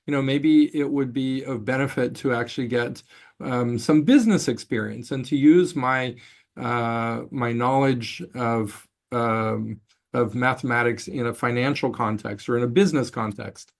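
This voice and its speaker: Halting male finance lecturer. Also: medium pitch. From Brazil. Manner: halting, many fillers and restarts. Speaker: finance professor. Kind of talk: online masterclass lecture.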